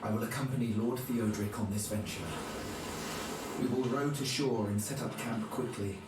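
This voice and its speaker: An actor playing a pious medieval monk narrating his journal for a video game in a formal, measured way. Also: medium pitch, fairly even intonation, far from the mic, very noisy, lightly acted. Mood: solemn, reflective. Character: male medieval monk chronicler, pious, solemn. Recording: very echoey, music behind.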